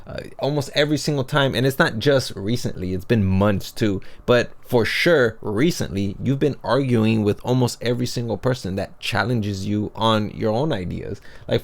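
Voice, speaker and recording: Guy doing casual youtube commentary narration, medium pitch voice, talking thru stuff. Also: vocal fry. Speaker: video commentator.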